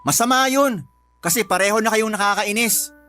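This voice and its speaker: A whiny, defensive young husband. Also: male voice, high-pitched, normal speed, bright, forceful tone, faint music behind. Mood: annoyed. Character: defensive, easygoing young husband, slacking worker. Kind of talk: Filipino radio drama acting.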